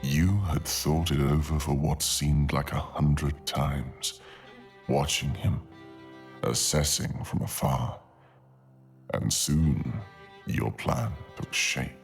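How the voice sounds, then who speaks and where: Very deep, gravelly, slow male storyteller. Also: steady tone, soft background music. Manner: dramatic, deliberate storytelling with long pauses. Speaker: audio storyteller, narrator. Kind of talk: scripted fantasy narration, ASMR audio.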